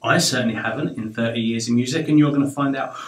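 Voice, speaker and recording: A middle-aged man hosting a talk show interview, quick and enthusiastic, often exclaiming. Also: mid-range voice. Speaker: host. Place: indoors, decorated home studio. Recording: roomy echo, mic at a distance.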